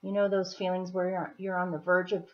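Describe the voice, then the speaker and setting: A woman with a slightly hoarse, scratchy throat, giving a casual tarot reading in an unhurried, deliberate manner with pauses as she explains the cards. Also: fairly low pitch, completely flat delivery. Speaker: tarot reader. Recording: dull, muffled lo-fi audio.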